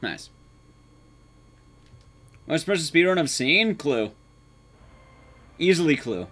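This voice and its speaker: High-voiced young man with animated delivery. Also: takes it slowly, slight background noise. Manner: self-deprecating, swears casually. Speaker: speedrun streamer. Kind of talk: casual live game commentary. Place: small indoor streaming room. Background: game music.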